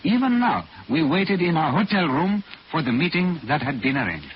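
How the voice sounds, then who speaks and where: An actor playing a devoted Tibetan manservant who tells the story in formal, measured 1940s radio style. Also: male voice, on the high side, very animated, a little hiss or hum. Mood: solemn, storytelling. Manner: formal, measured, proverb-quoting. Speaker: narrator and sidekick. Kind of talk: 1940s radio drama acting and narration. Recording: dull vintage 1940s radio, music behind.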